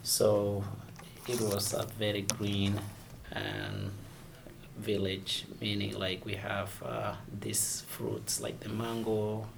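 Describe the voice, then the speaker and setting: A man from Somalia, answering interview questions haltingly and searching for words. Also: on the low side, little pitch movement, creaky, noisy background. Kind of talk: oral history interview.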